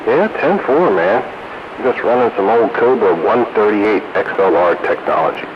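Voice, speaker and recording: Casting: man, lowish animated voice, casual joking radio host, heard through a muffled, hiss-filled CB transmission. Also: normal speed. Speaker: amateur radio operator hosting an on-air roundup. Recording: noisy hissy muffled two-way radio transmission.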